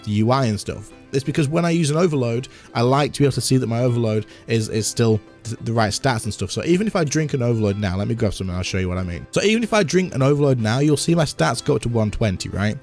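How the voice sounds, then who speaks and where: Hoarse guy doing a quick chatty game tutorial, talks fast, soft music in the background. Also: mid-range voice, expressive. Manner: chatty, explains while demonstrating on screen. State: slightly hoarse, losing his voice. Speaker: gaming content creator. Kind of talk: casual game tutorial walkthrough.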